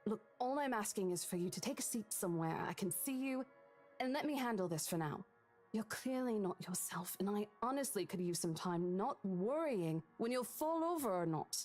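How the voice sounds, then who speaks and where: An actress playing a caring young real estate agent who talks in a reassuring, coaxing way in a horror visual novel. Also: female voice, medium pitch, animated, moderate pace, darkish tone, soft background music, close to an everyday voice.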